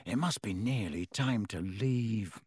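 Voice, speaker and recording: A man's voice: a little high, drawn-out words, clean tone, dry recording, clean studio sound, voice pushed up. An anxious, weary schoolboy in a storybook, voiced by a man. He sounds earnest and somewhat hesitant. The delivery is youthful in temper and plainly uneasy. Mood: miserable. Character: anxious, weary schoolboy, polite but urgent.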